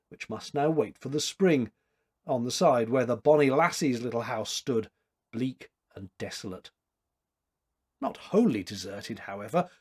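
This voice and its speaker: Male storyteller, 50s, medium pitch, slightly rough voice, measured literary narration. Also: darkish tone. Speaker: amateur story reader. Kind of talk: expressive read-aloud literary narration. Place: small domestic room, indoors.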